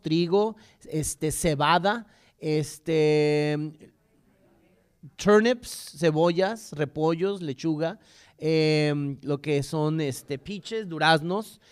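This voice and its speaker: A man from Mexico with a Mexican accent speaks as a lecturer in a fairly high voice for a man. His delivery is informal and rambling, halting over repeated words and self-corrections as he explains history. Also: a little mellow.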